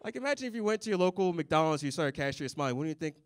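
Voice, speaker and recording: A young man performing a stand-up set on a comedy club stage into a handheld mic, with a deadpan, dry delivery and little chuckles. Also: fairly high pitch, rapid-fire, clean tone, no room sound.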